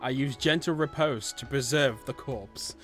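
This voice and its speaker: A man's voice: mid-range voice, a little slow, a bit bright. A man chatting and joking playfully as a tabletop game player, slipping into mock-formal speech. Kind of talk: casual joking game chat. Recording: music behind the voice.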